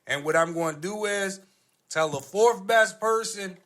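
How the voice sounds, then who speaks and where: Podcast host: American man, voice a touch high, slangy and conversational, steers the group by asking questions. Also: a little slow, a bit bright. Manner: slangy, prompting co-hosts with questions. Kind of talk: casual podcast chat.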